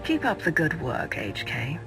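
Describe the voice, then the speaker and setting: An actor playing a composed, confident Sith sorcerer in a video game, with a measured, assured manner. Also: male voice, on the high side, wildly swooping pitch, gravelly, music in the background, exaggerated voice, poor audio. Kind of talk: video game cutscene acting.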